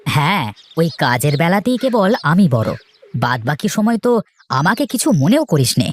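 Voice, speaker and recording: A woman voicing a sensible, hardworking eldest brother in a children's cartoon, firm and gently scolding. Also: on the low side, normal speed. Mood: firm, weary. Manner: steady, scolding, big-brotherly.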